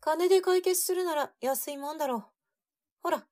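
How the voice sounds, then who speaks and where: A woman's voice: high-pitched, moderate pace, darkish tone, natural voice. A woman playing a blunt, easygoing mother who speaks in a brusque, matter-of-fact way in an audio drama. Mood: nonchalant. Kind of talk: Japanese audio drama acting.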